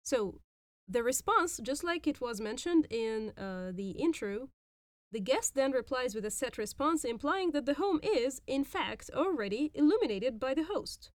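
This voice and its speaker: A woman hosting a podcast and teaching phrases in a patient, explanatory way, repeating words slowly. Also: high-pitched, animated, dry recording. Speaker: podcast host and Arabic teacher. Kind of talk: language lesson explaining phrases.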